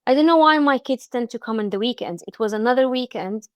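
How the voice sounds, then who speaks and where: A woman's voice: a little high, animated, quick pace, darkish tone, no echo, lo-fi sound. A woman from Egypt with an Egyptian accent, a podcast guest speaking in casual interview chat with frequent restarts and fillers.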